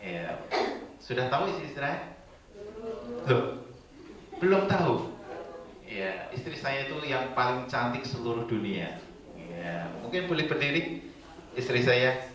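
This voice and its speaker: A man's voice: medium pitch, big pitch swings, slow pace, long pauses, crowd noise. A middle-aged man preaching a sermon to a congregation, joking playfully with them. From Indonesia. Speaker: guest preacher. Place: church hall. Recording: roomy church sound from a distant mic.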